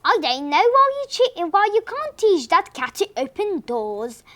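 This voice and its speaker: A woman's voice: very high voice, big pitch swings, measured pace, fairly bright tone, heavily acted. A woman voicing a stubborn, grumbling elderly husband who is kind underneath, sounding exasperated.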